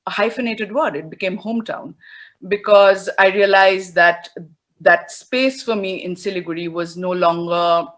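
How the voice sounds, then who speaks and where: A woman's voice: mid-range voice, a bit bright. A woman from India speaking as a panelist on a video call, thoughtful and hesitant, with many fillers and restarts.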